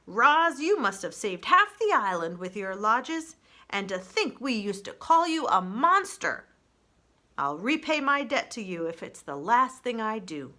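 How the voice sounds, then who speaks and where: Lady doing a crusty old granny groundhog, blunt n folksy storybook speechmaker, loud sharp voice. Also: a little high, sing-song, measured pace, exaggerated voice. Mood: gruffly earnest. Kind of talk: children's storybook character voice.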